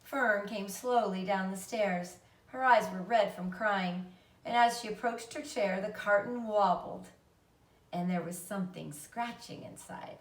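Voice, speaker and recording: A woman's voice: medium pitch, measured pace. A woman narrating a children's story aloud like a teacher reading to a class. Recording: roomy echo, distant mic.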